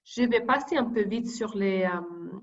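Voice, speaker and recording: Woman reading her speech on a video call, kinda halting, lots of restarts, dull muffled audio. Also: mid-range voice. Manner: hesitant, many fillers and restarts while reading. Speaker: deputy coordinator. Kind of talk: reading prepared opening remarks.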